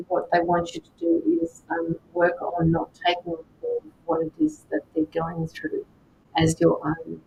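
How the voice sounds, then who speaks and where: A woman doing live tarot and mediumship readings, speaking gently to viewers by name with frequent hesitations. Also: creaky. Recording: slightly dull lo-fi sound with faint rumble.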